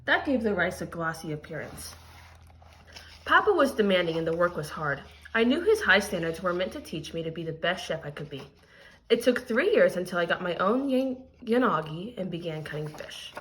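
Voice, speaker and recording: A woman's voice: lowish voice, big pitch swings, normal speed, fairly bright tone, slight background noise, rough recording. A woman teacher reading a picture book aloud to young students and explaining it as she goes. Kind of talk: expressive read-aloud with lesson commentary.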